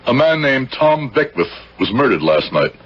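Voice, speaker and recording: An actor playing a guilt-ridden, unwell man confessing, husky and halting, in a radio drama. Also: medium pitch, sing-song. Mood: guilty, strained. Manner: halting, intense, insistent. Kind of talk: 1970s radio drama acting. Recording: dull radio recording.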